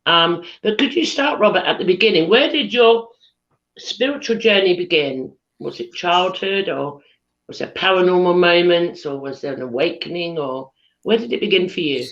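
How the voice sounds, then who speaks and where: A British woman hosting a live chat show on a video call, husky from losing her voice, chatty with lots of ums. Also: fairly low pitch, normal speed, fairly bright tone. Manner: chatty, giggly, many ums. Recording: dull lo-fi video call audio.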